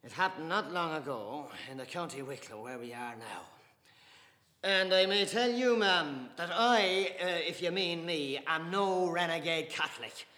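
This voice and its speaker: A man's voice: fairly high pitch, animated, measured pace, pushed and bright, pitched above the performer's own voice. A man voicing a hot-tempered, proud dinner guest. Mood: heated. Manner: declaiming, heated and emphatic. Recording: echoey room with long reverb.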